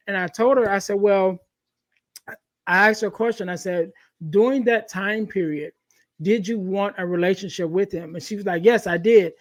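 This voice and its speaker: High-voiced man in close, dry sound. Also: no echo. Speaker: podcast host. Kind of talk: conversational podcast talk.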